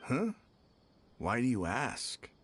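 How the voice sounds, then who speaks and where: Nonchalant, animated city guard. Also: male voice, mid-range voice, slow pace, a little hiss or hum. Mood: casual, musing. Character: nonchalant male city guard, defensive and gossipy. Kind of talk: video game voice acting.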